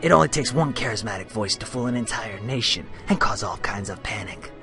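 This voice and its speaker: A man's voice: on the low side, animated, fairly quick, over music. A man in a radio play voicing a pompous, menacing pony reporter who digs up dirt ruthlessly. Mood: smug, accusing. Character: ruthless, self-important male pony muckraking reporter, villainous.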